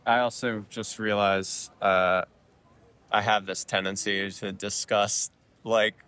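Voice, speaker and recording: Slow, low-voiced laid-back man. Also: level tone, pushed and bright, smooth. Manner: hesitant, easygoing, many likes and ums. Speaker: podcast co-host. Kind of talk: casual chat. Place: airport waiting area.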